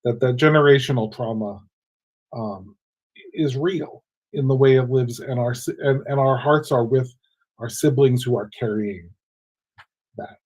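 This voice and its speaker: Measured man speaking on a panel. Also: medium pitch, a little mellow, husky, low-quality recording. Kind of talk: panel discussion.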